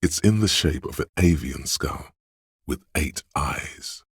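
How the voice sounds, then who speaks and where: A man voicing a detective's sly inner sense of touch as scripted game narration, gravelly and husky, measured and insinuating. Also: very deep voice, animated, fairly bright tone, no room sound, studio quality, full character voice. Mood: measured, observant.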